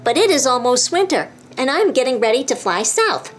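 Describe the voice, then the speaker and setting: Woman doing a high, polite quacking duck. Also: very animated, brisk, faint music behind. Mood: apologetic. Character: polite male storybook duck who quacks.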